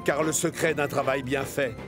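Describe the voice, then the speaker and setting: A man's voice: medium pitch, fairly even intonation, normal speed, over music. A man narrating a scripted children's cartoon story.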